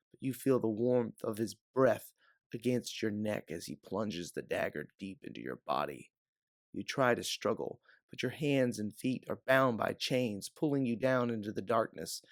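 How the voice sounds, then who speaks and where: Male audiobook narrator for scripted adventure fiction: low register, some fry, very dry room sound. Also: dry recording.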